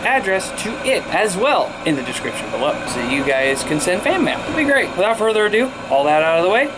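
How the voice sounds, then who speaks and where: A somewhat high-voiced American man talks casually to his viewers in an upbeat, rambling way. He sounds like a hobbyist vlogger filming himself on a handheld camera in a workshop, with clear background noise throughout. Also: sing-song, brisk. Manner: informal, upbeat, rambling, mild swearing. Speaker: video blogger and CB radio hobbyist. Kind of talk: casual hands-on vlog chat. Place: cluttered indoor garage workshop. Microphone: handheld camera at arm's length.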